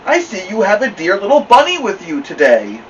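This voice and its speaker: A man's voice: sits high, huge pitch swings, a little fast, recorded from a distance, lo-fi sound, a little hiss or hum, voice pushed up. A man voicing a gushing, sugary neighbour lady in a storybook reading.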